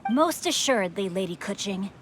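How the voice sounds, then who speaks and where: A woman's voice: mid-range voice, expressive, moderate pace, noisy background, close to an everyday voice. A woman voicing a genteel, chivalrous teenage boy of a merchant house in a video game, eloquent and theatrical. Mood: polite, eager.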